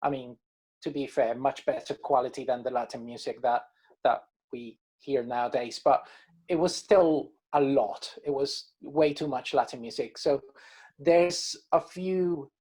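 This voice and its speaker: Man, hesitant and halting. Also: medium pitch, darkish tone, slightly rough. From Caribbean coast of Colombia. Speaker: band musician being interviewed. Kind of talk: casual interview chat.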